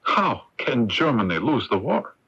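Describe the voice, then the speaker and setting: An actor playing an urbane, persuasive German count in a radio drama, insistent and grandly assured. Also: male voice, mid-range voice, big pitch swings, unhurried, a bit bright, husky, exaggerated voice. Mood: insistent, scornful. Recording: muffled AM radio broadcast tape.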